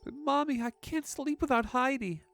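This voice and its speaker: A woman's voice: high-pitched, normal speed, a bit bright, no room sound, faint music behind. A woman voicing a frightened, pleading five-year-old girl with a small childlike voice in a horror story reading. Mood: pleading, worried.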